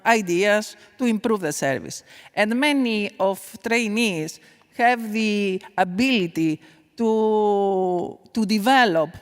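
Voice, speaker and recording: A woman, a conference panelist answering an audience question in halting English full of fillers. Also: mid-range voice, clean tone. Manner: hesitant, halting non-native English with many fillers. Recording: long reverb of a large conference hall.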